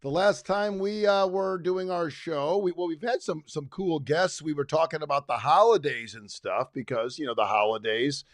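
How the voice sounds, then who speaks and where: A man's voice: fairly high pitch, moderate pace, hardly any pauses, no room sound, studio quality. A middle-aged man co-hosting a podcast, chatting casually and rambling with many restarts. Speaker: podcast co-host. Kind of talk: casual chat. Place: his home.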